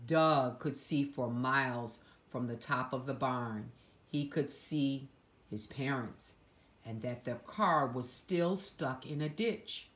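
A woman reading a picture book aloud to young children, with dramatic emphasis and hushed asides to her listeners. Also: rumbling low, slow. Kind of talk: expressive read-aloud storytelling for young children.

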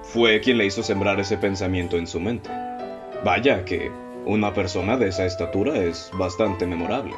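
A man playing a calm, analytical young gentleman detective in a student radio drama, speaking in a measured way. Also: low voice, lo-fi sound, close to an everyday voice. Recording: music bed behind.

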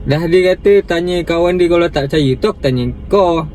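A man's voice: fairly high pitch, brisk, darkish tone, a little hiss or hum. A man in his twenties with a Malaysian accent, chatting casually and pressing with questions inside a car. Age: 20s. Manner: insistent, questioning. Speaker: prank caller. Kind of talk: casual phone chat.

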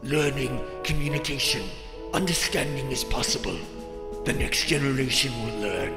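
Man as a wise, mid-pitched alien counsellor in echo. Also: moderate pace, lightly acted. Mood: wise, calm. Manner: terse, philosophical. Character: wise, gentle alien counsellor with clipped syntax. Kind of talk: space adventure radio drama acting. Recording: echoing reverb with loud music.

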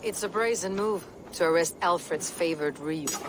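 A woman acting as a battle-hardened Viking warrior woman from a video game. Her voice sits low and gruff, and she talks bluntly, with measured menace. Noticeable ambient noise runs behind her. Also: close to an everyday voice. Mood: steely.

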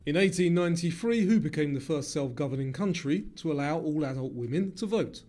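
A man delivering scripted quiz narration in a measured, formal reading manner. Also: a little high, animated, mellow. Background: music.

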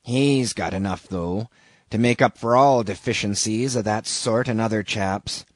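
A man's voice: medium pitch, a little slow, no echo, lightly acted. A man voicing a shabby old sailor in an audiobook, an ominous, derisive stranger who talks insistently in archaic seafaring speech. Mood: cryptic, scornful. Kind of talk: audiobook character reading.

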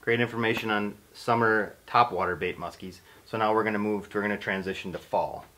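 A man's voice: fairly low pitch, steady tone, a little fast, sharp tone, a little hiss or hum. Casual man hosting a fishing interview. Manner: casual, listing lures, asking questions. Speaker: host of a fishing channel. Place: indoor studio in front of a banner.

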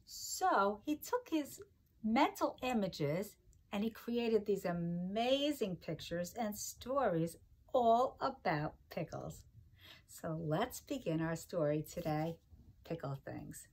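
A middle-aged woman reading a picture book aloud to young children like a teacher, with expressive, sing-song delivery and chatty asides. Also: mid-range voice, takes it slowly. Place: kitchen-like room at home, indoors.

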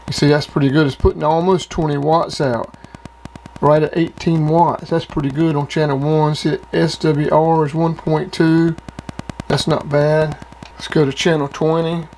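Guy doing a casual gear demo, voice a bit high, some noise behind him. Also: little pitch movement, normal speed, a little mellow, poor audio. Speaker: radio hobbyist reviewing gear. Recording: clear background noise.

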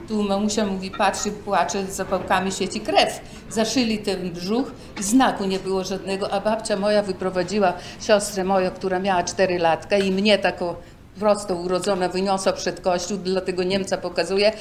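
An elderly woman shares memories in colloquial, rambling speech. She tells it vividly, at a middling pitch, with faint noise around her. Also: level tone, normal speed. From Poland, Podlasie countryside. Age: late 70s. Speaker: senior sharing memories. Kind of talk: animated personal storytelling.

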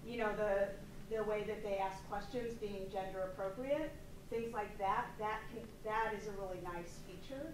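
A woman in a workshop audience speaking up in a measured, explanatory way during the discussion. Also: mid-range voice. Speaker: workshop participant. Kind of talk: discussion comment. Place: seminar room. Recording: distant, echoey, noisy room mic.

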